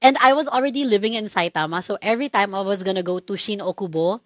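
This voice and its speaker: A woman co-hosting a casual chat podcast, telling animated anecdotes, acting out quoted voices and laughing often. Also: medium pitch, fast, no room sound, highs cut off. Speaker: podcast co-host.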